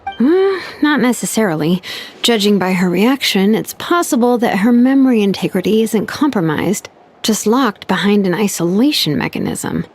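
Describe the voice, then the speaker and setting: A woman voicing a wry young engineer who muses aloud in an animated way. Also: mid-range voice, moderate pace, breathy edge.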